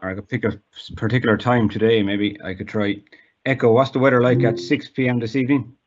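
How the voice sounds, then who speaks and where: A man's voice: medium pitch, fairly even intonation, fast, dull audio. An Irish man giving a casual, informal demonstration as a guest, with some hesitation. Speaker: guest demonstrating accessible technology.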